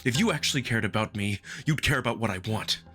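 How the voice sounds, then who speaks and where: A man's voice: fairly low pitch, expressive, fast talker, sharp tone, husky, voice pushed down, full character voice. A man playing a hurt, stubborn human in a visual novel drama, blunt and confrontational in his delivery. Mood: furious, hurt.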